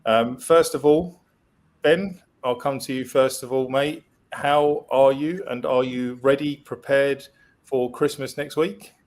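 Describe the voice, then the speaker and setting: A man's voice: mid-range voice, fairly even intonation, measured pace, darkish tone. A man hosting a casual podcast, hesitant and friendly, asking his guests questions. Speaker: podcast host.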